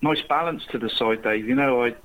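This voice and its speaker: A man's voice: medium pitch, fairly quick, a bit bright, rough recording. Chatty man, says mate a lot. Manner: says "mate" constantly, laughs often. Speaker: podcast co-host. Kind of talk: casual football chat.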